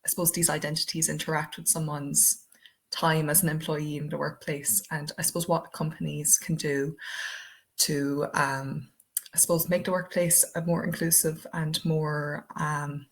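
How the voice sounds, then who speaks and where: A young Irish woman with an Irish accent and a low, deep-set voice hosts an online webinar. She talks in a chatty, loose way, filling gaps with "um" and "I suppose". She sounds as if she is speaking into a computer microphone on a video call. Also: little pitch movement, normal speed. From Ireland. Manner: chatty, many fillers like "um" and "I suppose". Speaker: webinar presenter. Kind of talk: webinar presentation.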